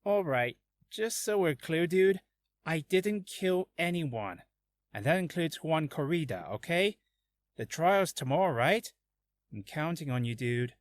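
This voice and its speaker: A man's voice: on the high side, animated, measured pace, no room sound. A man playing a slick young celebrity, laid-back yet arrogant, in a video game. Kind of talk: video game character acting.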